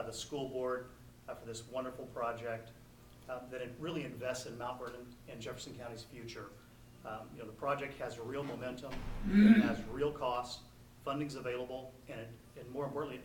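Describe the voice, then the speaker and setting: Middle aged american guy on a council, halting informal thanks, echoey and off mic. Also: medium pitch. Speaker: council member. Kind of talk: informal public thanks at a meeting. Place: indoor council meeting room. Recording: echoey room, distant mic, background noise.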